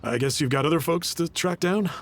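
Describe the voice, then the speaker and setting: A man's voice: a little high, a little fast, a little hiss or hum, close to an everyday voice. An actor playing an earnest, polite young man who cooks, a little wistful about an old friend. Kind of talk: video game voice acting.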